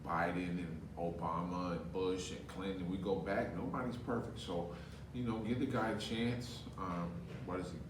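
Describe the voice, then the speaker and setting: A very low-pitched man from California explains his views in a steady, measured way as a podcast guest. He is recorded from a distance. A roomy echo and clear background noise surround his voice. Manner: measured and explanatory, with occasional hearty laughter. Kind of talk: casual political chat. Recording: echoey room, mic far off, background noise.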